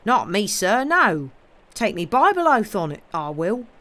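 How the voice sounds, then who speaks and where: A woman's voice: mid-range voice, very animated, unhurried, pushed and bright, voice pushed up. A woman voicing a frightened, superstitious male servant who babbles in rustic dialect. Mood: pleading. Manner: babbling, fearful, dialect-heavy.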